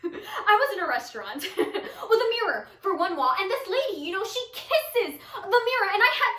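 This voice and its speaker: A woman's voice: extremely high pitch, sing-song, moderate pace, pushed and bright, pitched above the performer's own voice, exaggerated voice. A young woman performing a dramatic monologue as a giggling, unstable, bitter teenage storyteller, theatrical and swinging in intensity. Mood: manic, giggling. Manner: theatrical, breaking into unhinged laughter, swinging intensity. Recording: echoey room.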